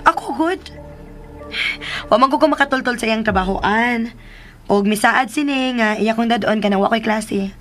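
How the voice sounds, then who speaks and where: Actress as sweet patient teen girl, dutiful daughter, radio drama, highish voice. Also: moderate pace, long pauses, fairly bright tone, faint music behind, close to an everyday voice. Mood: explaining.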